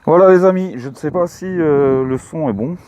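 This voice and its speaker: A man's voice: fairly high pitch, normal speed, muffled recording, background noise. A man with a Belgian French accent, chatting casually outdoors in a field with many hesitant fillers. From Belgium, Luxembourg province. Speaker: metal-detecting vlogger. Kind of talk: casual outdoor talk to camera. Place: outdoors in a mown meadow.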